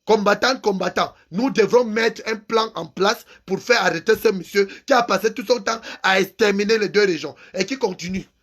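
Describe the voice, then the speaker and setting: Male activist, unusually high-pitched, angry and insistent. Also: normal speed, hardly any pauses, a bit bright. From Cameroon. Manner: angry, insulting, repeats phrases for emphasis. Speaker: activist vlogger. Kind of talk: impassioned political rant.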